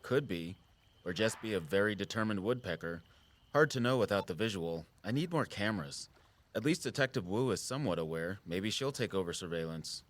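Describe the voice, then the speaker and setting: An actor playing a concerned, patient outdoorsman in a naturalistic audio drama, measured and gently frank. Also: fairly low pitch, expressive, close to an everyday voice. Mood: intent, explanatory. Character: Jordan, concerned, patient outdoorsman who watches the woods.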